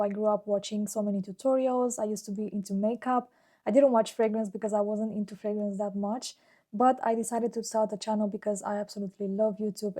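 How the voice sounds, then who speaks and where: A woman chats in a relaxed, personal vlog style. She sits a little above the usual pitch for a woman but keeps a fairly even melody. Her tone is soft and mellow, and she speaks fondly, with plenty of "like" fillers. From Romania. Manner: chatty and fond, with frequent "like" fillers. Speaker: fragrance YouTuber. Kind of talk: casual personal vlog talk.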